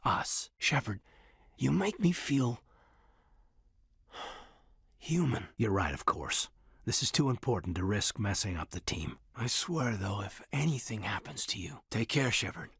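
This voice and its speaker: A man voicing an earnest, loyal military officer in a sci-fi video game, sincere and restrained, with a husky voice. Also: on the low side, sing-song, unhurried, a bit bright, no room sound, heavily acted. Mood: tender, intense. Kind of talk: naturalistic dramatic game dialogue.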